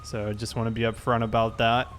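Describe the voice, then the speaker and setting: A man playing a calm, fair-minded police officer in improvised online roleplay, speaking politely and a little hesitantly. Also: mid-range voice, quick pace, natural voice. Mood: calm, procedural. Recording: faint background noise, game vehicle sounds.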